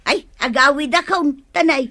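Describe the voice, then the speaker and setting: A woman's voice: sits high, normal speed, pushed and bright. A woman with an Ilocano accent playing a nagging, gossipy village wife in a radio comedy drama. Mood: gossipy, teasing.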